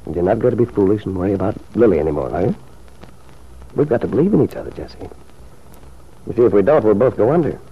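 A man's voice: on the low side, lively intonation, quick pace, pauses often, slightly rough. An actor playing an ardent, reassuring lover of a married woman in an old radio soap opera, suave and earnest. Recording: muffled old radio recording.

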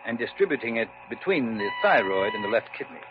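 A man playing a smooth-talking quack doctor. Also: mid-range voice, big pitch swings, a little fast, over music, natural voice. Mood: soothing sales pitch. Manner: salesman-like, pseudo-scientific patter. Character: smooth-talking male quack doctor, swindler turned indignant. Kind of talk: 1950s radio drama acting. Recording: dull old radio recording with background hiss.

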